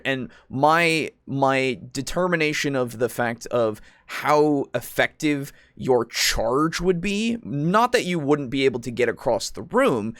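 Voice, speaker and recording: A man's voice: mid-range voice, fairly bright tone, no room sound. Rambling man in casual, close dry talk. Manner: rambling, halting explanations. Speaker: podcast host. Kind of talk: casual chat about tabletop roleplaying.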